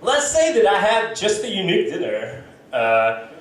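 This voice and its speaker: A man doing a stand-up set at an open mic, swearing and animated as he tells a story. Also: high-pitched, normal speed, distant mic. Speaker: stand-up comedian. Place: comedy club stage. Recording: echoey live room, heard from afar.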